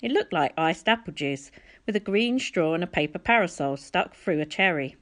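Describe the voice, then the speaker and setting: Low-pitched woman reading a story. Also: lively intonation, normal speed, a bit bright. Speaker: audiobook reader. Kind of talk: storybook narration, reading aloud.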